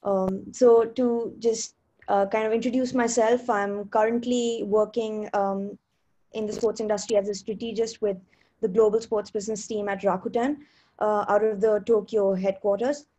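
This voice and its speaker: Young woman introducing herself on a video call, pretty monotone, soft mellow voice. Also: mid-range voice, moderate pace, poor audio. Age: young adult. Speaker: panel guest.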